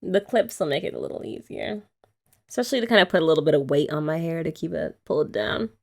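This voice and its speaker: A woman's voice: low voice, expressive, fast talker, creaky. A young woman chatting playfully and teasingly in a girlfriend roleplay ASMR. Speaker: ASMR creator.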